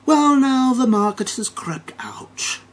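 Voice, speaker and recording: A man's voice: extremely high pitch, big pitch swings, takes it slowly, full character voice. A middle-aged American man playing an arrogant, vengeful Wall Street banker in a theatrical dramatic reading that moves between whispers and emphatic declarations. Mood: cold, smug.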